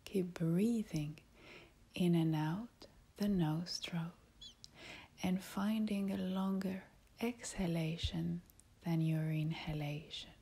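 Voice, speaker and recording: A woman guides a meditation at a very slow pace, leaving long pauses between short phrases. Her voice is extremely breathy and half-whispered, sitting a little low. She sounds calm and soothing, like an instructor easing listeners into relaxation.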